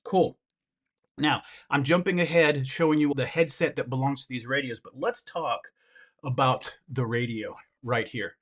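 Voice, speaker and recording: A man of ordinary pitch reviews gear on camera, talking directly to his viewers. He sounds upbeat and enthusiastic. He breaks off now and then with quick asides while he handles what he's showing. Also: a bit bright. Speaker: product reviewer. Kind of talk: hands-on product demo.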